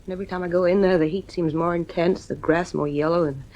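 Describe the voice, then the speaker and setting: A woman's voice: lowish voice, fairly even intonation, fast, mellow, lightly acted, rough recording. An actress playing an anxious, nervy mother in a radio drama, earnest and insistent with her husband. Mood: fretful.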